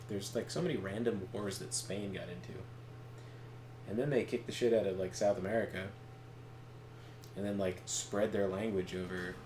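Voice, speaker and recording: A man co-hosting a game stream, chatting casually in a halting, digressive way as he shares bits of trivia. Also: on the low side, darkish tone. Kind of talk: casual rambling chat. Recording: echoey room, faint background noise.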